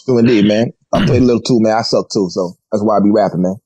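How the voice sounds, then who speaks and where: Host: man, husky, mellow, dry close sound, slangy and repetitive. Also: fairly low pitch, fast, no room sound. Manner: loose slangy, repeats phrases. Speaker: podcast host. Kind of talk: casual hip hop chat.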